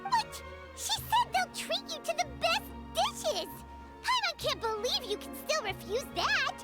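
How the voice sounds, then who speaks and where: A woman voicing a tiny, excitable female fairy companion who loves food and calls herself by name. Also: very high voice, unhurried, full character voice. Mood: indignant. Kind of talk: game voice acting. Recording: game audio under music.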